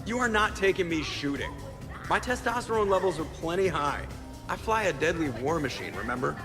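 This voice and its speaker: Higher-pitched, sarcastic pilot. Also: male voice, animated, a little fast, music in the background. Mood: defensive, sarcastic. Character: wisecracking, defensive male starship pilot. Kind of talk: video game acting.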